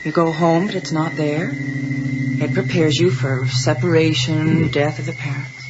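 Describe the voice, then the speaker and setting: An actress playing an earnest, methodical woman psychiatrist, speaking in a measured, probing way in a radio drama. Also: deep voice, steady tone, very noisy. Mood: puzzled, thoughtful. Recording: dull 1980s radio broadcast with music.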